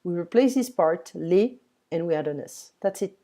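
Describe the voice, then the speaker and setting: A woman teaching grammar in English, patiently modelling each word slowly. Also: fairly low pitch, animated, warm tone. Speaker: French tutor. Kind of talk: one-on-one language lesson, explaining grammar.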